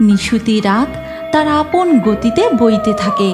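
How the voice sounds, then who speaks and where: A woman narrates a storybook in an expressive, sing-song way. Her voice sits high and swings widely. Music plays clearly behind her. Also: measured pace. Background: background music.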